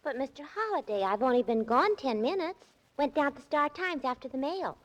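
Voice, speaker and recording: High-voiced scatterbrained secretary. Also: female voice, lively intonation, moderate pace. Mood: worried. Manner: naive, fussy, mangles words. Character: scatterbrained fretful young female secretary. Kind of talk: 1940s radio acting.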